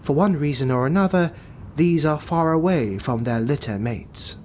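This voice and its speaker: Man putting on an arch, higher-pitched knight retainer. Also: normal speed, a little mellow, slight background noise, close to an everyday voice. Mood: explaining. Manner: arch, deferential yet indignant. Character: haughty, easily offended knight retainer.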